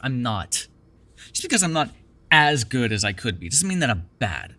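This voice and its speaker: A man's voice: medium pitch, sing-song, moderate pace, pushed and bright. A man playing a chatty, defensive vampire captor in an intimate ASMR roleplay, rambling casually with plenty of swearing. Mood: defensive, proud. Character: chatty, defensive, self-deprecating male vampire captor with a soft spot.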